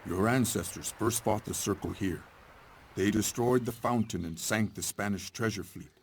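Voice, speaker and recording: An actor playing a wise, grave older frontier hero in a video game, speaking in a measured, solemn way. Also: male voice, on the low side, slight background noise, natural voice. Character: grave, wise old great-uncle, seasoned frontier hero. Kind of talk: video game cutscene acting.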